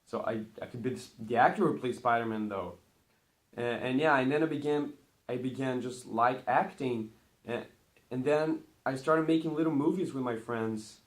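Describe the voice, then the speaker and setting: A man's voice: mid-range voice, normal speed. Chatty teenage Brazilian boy vlogging. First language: Portuguese. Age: teens. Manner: chatty and rambling, with bursts of excitement at each numbered item. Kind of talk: casual talk to camera.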